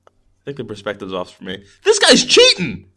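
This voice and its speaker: A man co-hosting a podcast in playful, expressive casual chat, often repeating short phrases for emphasis. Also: lowish voice, normal speed, a crowd in the background. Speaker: podcast co-host. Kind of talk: casual chat about beer and films.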